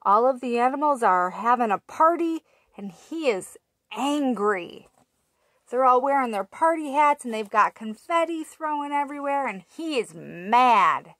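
A woman's voice: sits high, expressive, a bit bright, dry recording. A woman in her thirties reading a picture book aloud to toddlers, asking them questions in a sing-song way, recorded on a webcam in a small room. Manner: slow, sing-song, asks little listeners questions. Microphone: webcam at arm's length.

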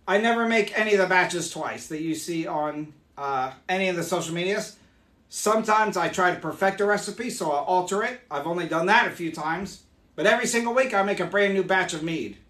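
A man in his forties, hoarse from losing his voice, chatting upbeat as he demonstrates mead making live in a small room. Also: high-pitched, bright, forceful tone, reverberant. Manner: chatty, upbeat, explains as he works. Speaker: meadery owner hosting a live stream. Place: small home meadery room, indoors.

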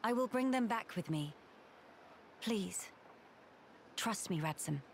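A woman's voice: medium pitch, animated, slow pace, vocal fry, slight background noise. A woman playing an earnest, dutiful young noble leader in a video game, speaking formally. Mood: earnest, determined. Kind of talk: video game cutscene acting.

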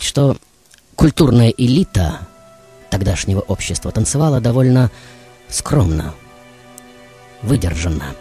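A man playing a passionate, self-assured tango musician who tells his life story in dramatic, deliberate phrases. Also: on the low side, dark tone. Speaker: radio show host. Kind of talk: theatrical first-person storytelling as a famous musician. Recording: dull radio recording with music.

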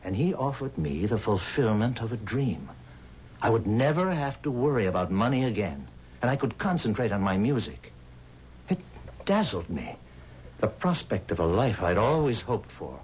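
An actor playing a haunted, pleading musician bound to the devil, speaking earnestly and formally in dramatic 1970s radio style. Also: male voice, fairly low pitch, very animated, moderate pace, darkish tone. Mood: haunted, regretful. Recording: dull, hissy 1970s radio recording.

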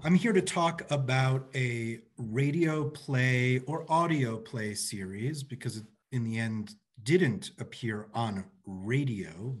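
A man in his forties giving a prepared panel presentation on a video call from an office, measured and pausing between phrases. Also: medium pitch, slightly rough. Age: 40s. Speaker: panelist, arts director. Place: office by a window, indoors. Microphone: video call computer microphone.

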